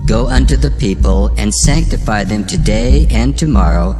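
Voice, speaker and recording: A man's voice: lowish voice, moderate pace, lightly acted. A man playing the God of Israel in a dramatized scripture reading, solemn, stern and declamatory. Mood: solemn command. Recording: loud background music under the voice.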